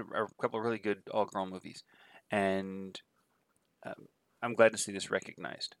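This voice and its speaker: A man's voice: lowish voice, no room sound. Nasal man with a cold. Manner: rambling, filler-heavy listing of titles. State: has a cold. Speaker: adult film reviewer. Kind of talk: casual spoken review.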